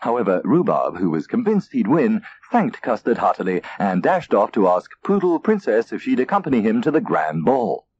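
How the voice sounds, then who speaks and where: A man reading a children's story as narrator in an expressive, theatrical way. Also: on the low side, moderate pace, few pauses, husky. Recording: dull tape transfer, faint noise.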